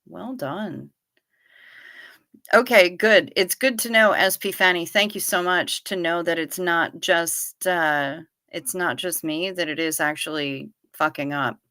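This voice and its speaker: A woman's voice: fairly low pitch, unhurried, bright, forceful tone, dry recording. A woman in her fifties hosting a livestream, chatting playfully and answering viewers by name. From Niagara Falls region. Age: 50s. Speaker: livestream host. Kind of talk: casual livestream chat reading viewer comments.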